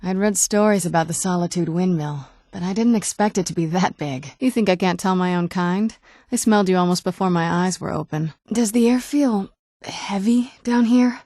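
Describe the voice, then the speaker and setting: A woman's voice: fairly low pitch, normal speed, mellow, dry recording, natural voice. A woman playing a youthful-seeming ancient vampire noblewoman in a video game, wry and guarded, with a dry conversational delivery. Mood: measured, guarded. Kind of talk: video game character dialogue.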